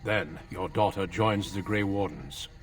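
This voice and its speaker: An actor playing a grave, composed veteran warrior in a fantasy game, speaking in a formal, measured, courteous manner. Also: male voice, low voice, far from the mic, slight background noise. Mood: solemn, urgent.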